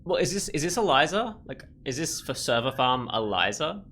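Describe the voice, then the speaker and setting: A man's voice: mid-range voice, sing-song, normal speed, bright, forceful tone, a little hiss or hum. A man giving casual let's play commentary, thinking aloud in a rambling, musing way. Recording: faint game music behind.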